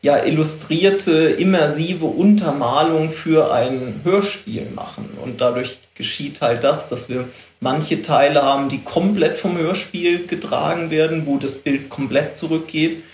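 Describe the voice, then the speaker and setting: A man's voice: fairly high pitch, unhurried, a little mellow, echoey room. A man explaining production work informally in an interview, hesitant and correcting himself as he goes. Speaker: visual production expert.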